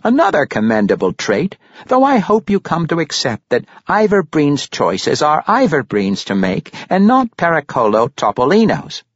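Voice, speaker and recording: A shrewd, amused old patriarch from a fantasy storybook, as a man acts him out, speaking with courtly flourish. The pitch is raised and extremely animated, and the recording is dry and slightly dull. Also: moderate pace, hardly any pauses, dry recording. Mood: exclaiming, admiring. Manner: courtly, theatrical, amused, flowery phrasing. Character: jovial, shrewd, courtly older crime-boss grandfather.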